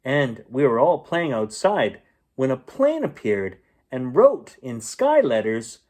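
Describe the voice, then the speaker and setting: A man reading a children's storybook aloud in an expressive, playful storytelling manner. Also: medium pitch, takes it slowly. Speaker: bedtime story reader.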